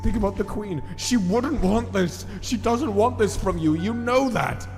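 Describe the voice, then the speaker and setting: A loyal, uneasy royal adviser in a monster comic dub, played by a man. The voice sits fairly high, earnest and hesitant as he pleads. It rings with long reverb over faint music. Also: unhurried, darkish tone. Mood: desperate pleading. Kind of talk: dramatic comic dub acting.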